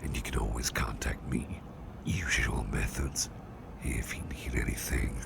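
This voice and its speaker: Man acting a very deep, gravelly gentleman boss. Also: fairly even intonation, exaggerated voice. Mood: polite, reassuring. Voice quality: very deep, gravelly, breathy near-whisper. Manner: gentle, repeats phrases, hesitant pauses, polite. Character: courteous, attentive businessman boss, soothing and obliging. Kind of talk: soft-spoken ASMR roleplay.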